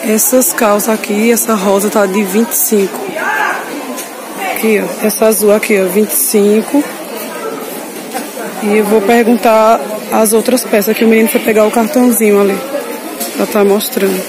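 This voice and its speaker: Northeastern Brazilian woman vlogging amid loud crowd. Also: medium pitch, flat delivery, moderate pace, pauses often, rough recording. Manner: informal, addresses viewers often, repeats details. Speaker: vlogger showing market clothing stalls. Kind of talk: casual shopping vlog chat to viewers. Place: busy street clothing market. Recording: busy crowd noise all around. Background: loud market crowd.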